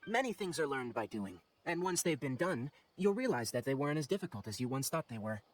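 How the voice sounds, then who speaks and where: A man playing a calm, expert young forest ranger and mentor in a video game, speaking in a measured, articulate, instructive way. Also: mid-range voice, poor audio. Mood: reassuring. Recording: game music behind the voice.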